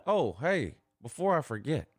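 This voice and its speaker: A man's voice: medium pitch, very animated, normal speed, husky, no room sound, clean studio sound. A man with a Southern US accent hosting a casual sports banter show, emphatic and boastful, repeating himself and swearing freely. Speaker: morning show host.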